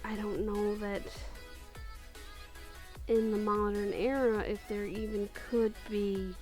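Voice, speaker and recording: A woman's voice: mid-range voice, level tone, slow pace, darkish tone, soft background music. A woman casually chatting through her favorite picks, gushing and giggly, often restarting her sentences. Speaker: video creator. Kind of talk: casual countdown chat.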